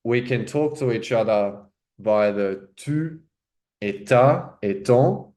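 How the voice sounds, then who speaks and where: A man's voice: medium pitch, animated, slow, dark tone. A man coaching French, explaining informally and checking in with "right?" and "okay?". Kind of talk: casual teaching commentary.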